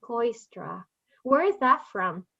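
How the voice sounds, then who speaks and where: A woman's voice: a little high, sing-song, takes it slowly, creaky, bright, forceful tone, dull audio. A woman with a Puerto Rican Spanish accent, Spanish her first language, hosting an interview in a chatty way and laughing easily. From Puerto Rico. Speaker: podcast host. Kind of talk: conversational interview.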